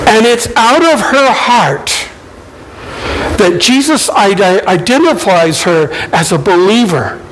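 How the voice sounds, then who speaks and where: Slow-talking high-voiced male pastor. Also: a bit bright, a little hiss or hum, low-quality recording. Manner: conversational preaching, slow and deliberate. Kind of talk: sermon.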